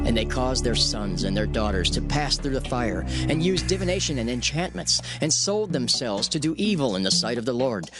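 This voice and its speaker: A male narrator reads scripture as drama, solemn and measured, with emphatic phrasing. His mid-pitched voice moves with lively inflection over loud music.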